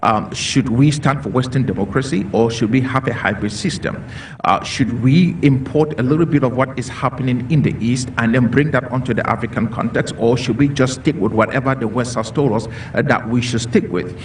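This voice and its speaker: A man's voice: mid-range voice, brisk. A man from Cameroon speaking as a panelist in a discussion, earnest and repetitive, with many fillers. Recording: distant mic with faint noise.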